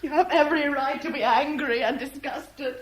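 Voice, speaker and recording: An actress playing an anguished woman torn between two lovers in a 1970s radio drama, breathy and plaintive. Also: sits high, moderate pace, close to an everyday voice. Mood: anguished, pleading. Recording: noisy old radio broadcast recording.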